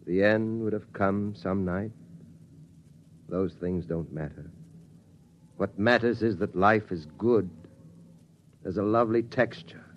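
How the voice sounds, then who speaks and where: An actor playing a steady, reflective father talking to his son in a 1950s radio drama. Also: fairly low pitch, a little hiss or hum. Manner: steady, reflective, rising to fervent resolve. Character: resolute father of a surviving family. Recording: dull old radio broadcast.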